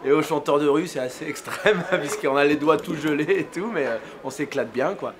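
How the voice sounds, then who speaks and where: A man's voice: a little high, normal speed, crowd noise. A man street musician speaking in an easygoing way, with many fillers, in a festival interview.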